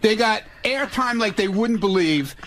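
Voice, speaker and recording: A man's voice: high-pitched, lively intonation, measured pace, a bit bright, a little hiss or hum. A man arguing casually in an indignant, defensive and coarse way. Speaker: radio show staffer. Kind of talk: casual argument.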